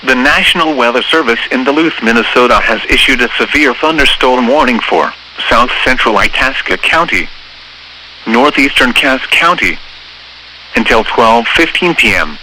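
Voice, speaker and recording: Synthetic male weather radio announcer, very bright and forceful, formal bulletin read over faint static. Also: mid-range voice, expressive, moderate pace. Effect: synthesized text-to-speech voice. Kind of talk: scripted emergency weather bulletin. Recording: noisy weather radio broadcast.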